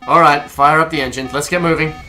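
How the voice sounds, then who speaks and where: A man's voice: on the high side, fairly even intonation, quick pace, bright, forceful tone, faint music behind, low-quality recording. A man playing a cavalier, wisecracking smuggler pilot in an audio drama, sarcastic and breezy. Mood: urgent, commanding. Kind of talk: scripted audio drama acting.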